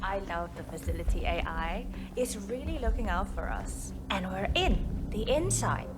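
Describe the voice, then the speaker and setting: A woman's voice: mid-range voice, lively intonation, normal speed, lightly acted. A woman playing a polished, businesslike facility official in a video game, speaking formally and courteously as a tour guide. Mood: businesslike, composed. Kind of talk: scripted video game cutscene dialogue. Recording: long hall reverb, music behind.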